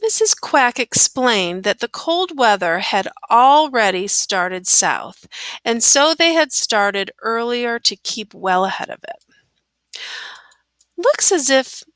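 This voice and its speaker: A woman reads a storybook aloud in a bright, slightly high voice. Her phrasing is careful and expressive, with lively rises and falls. The sound is dry. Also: takes it slowly, dry recording. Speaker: storybook reader. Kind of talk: children's story read-aloud.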